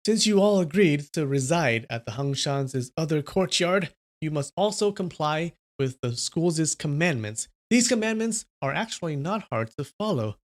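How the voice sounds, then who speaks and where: Man reading as a calm, formal martial arts leader. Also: fairly high pitch, close to an everyday voice.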